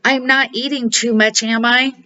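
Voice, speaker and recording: A woman's voice: a little high, fairly even intonation, normal speed, bright, forceful tone, highs cut off, pitched above the performer's own voice. A woman voicing a cheerful, polite little boy bear cub in a storybook reading.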